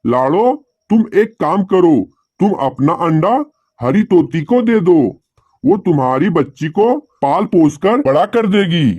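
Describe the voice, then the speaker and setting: Cartoon pigeon played by a man: high male voice, dry close sound, earnest, concerned neighbour. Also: lively intonation, measured pace, darkish tone, husky, dry recording, highs cut off, exaggerated voice. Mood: apologetic. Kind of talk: Hindi cartoon dialogue.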